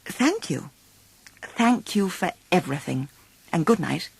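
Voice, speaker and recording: A woman voicing a sweet, kindly young princess in a storybook, gentle and polite. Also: fairly low pitch, sing-song, measured pace, gravelly, breathy edge, full character voice, poor audio. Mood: grateful.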